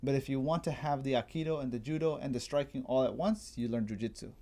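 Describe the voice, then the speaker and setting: A man with a mellow, relaxed voice of middling pitch talks through history as a guest in a casual conversation. He explains informally and wanders between points, thinking out loud as he goes. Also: fast. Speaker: guest martial arts enthusiast explaining history.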